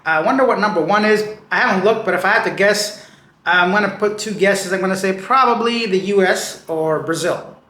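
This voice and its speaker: A man hosting a casual news show with a slightly raspy voice, reading stories and adding slangy, chatty asides. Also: high voice, a little fast, pushed and bright, reverberant. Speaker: news channel host.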